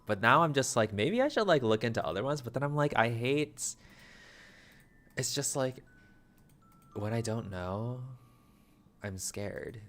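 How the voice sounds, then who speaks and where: A young man streaming a game, chatting casually with viewers in a flat, low-energy way, full of self-deprecating asides. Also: unhurried, pauses often. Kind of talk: casual livestream chat.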